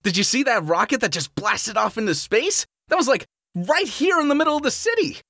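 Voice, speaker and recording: A woman voicing a cocky, excitable young male hedgehog hero with a bouncy, wisecracking manner. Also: a little high, a little fast, no echo, studio quality. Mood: excited.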